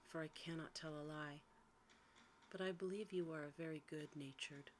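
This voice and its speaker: A woman voicing a kind, candid, timid young woman in a fairy tale, softly, politely and earnestly. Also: deep voice, fairly even intonation, moderate pace, natural voice. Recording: faint background noise.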